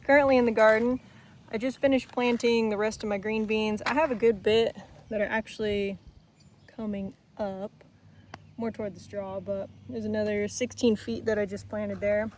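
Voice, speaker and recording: A woman's voice: mid-range voice, steady tone, moderate pace, a little hiss or hum. A young woman, chatting casually in a farm diary vlog, her voice a little strained from talking. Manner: chatty, plainspoken, lots of fillers. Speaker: farm vlogger. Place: outdoors on a farm garden. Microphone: phone held while walking.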